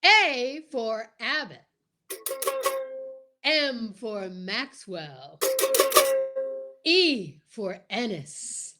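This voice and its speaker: A grand 1940s radio pitch announcer , played by a woman, high in pitch. Each phrase is stretched out deliberately and theatrically to sell the product and introduce the show. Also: very animated, loud music behind the voice, full character voice. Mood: grand salesmanship. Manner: slow, drawn-out, deliberate salesmanship. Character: old-time radio cigarette-ad announcer, grand and smooth-selling.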